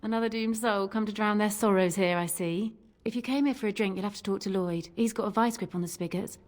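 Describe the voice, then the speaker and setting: An actress playing a jaded, wry tavern barmaid in a fantasy video game. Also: female voice, mid-range voice, fast talker, darkish tone, natural voice.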